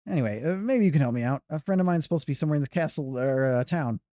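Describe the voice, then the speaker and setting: A man's voice: a little high, expressive, racing, dark tone, dry recording, lightly acted. A man doing an earnest young game hero. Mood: eager.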